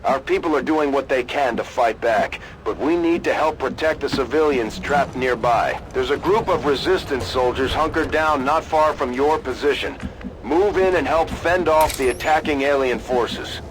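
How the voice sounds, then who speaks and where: An actor playing a serious, dutiful military officer giving a formal, urgent briefing in a video game. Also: male voice, medium pitch, moderate pace, background noise, lightly acted.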